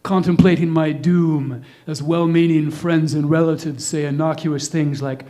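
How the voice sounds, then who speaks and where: A man's voice: a little high, steady tone, unhurried, warm tone. A man performing a spoken-word monologue live, with a dramatic, darkly sardonic, ornately enunciated delivery.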